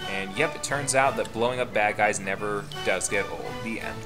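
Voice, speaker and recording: A man's voice: lowish voice, normal speed. A man reading a story game aloud in a playful, dramatic way, with casual joking asides. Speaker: gaming channel player reading the game aloud. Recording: music playing behind the voice.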